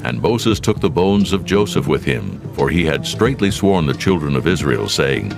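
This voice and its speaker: A man reads dramatized scripture aloud, slow and solemn. His voice sits extremely low with a gravelly rasp. Music plays clearly beneath him. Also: sing-song. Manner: slow, solemn, measured delivery. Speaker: narrator. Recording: narration over music.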